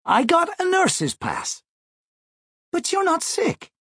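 A man doing a high, exasperated little girl. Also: wildly swooping pitch, takes it slowly, a bit bright, no room sound, studio quality, full character voice. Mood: urgent, exasperated. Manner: urgent, questioning. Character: exasperated, worried sixth-grade little sister. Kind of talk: audiobook character voice.

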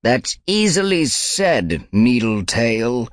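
A man doing a crusty old gray she-cat who has seen it all, her voice raised well above his own and rasping as it rumbles up from her chest. She talks slowly and firmly, and her words land hard in this dry audiobook reading. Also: very animated, no echo. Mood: stern, knowing.

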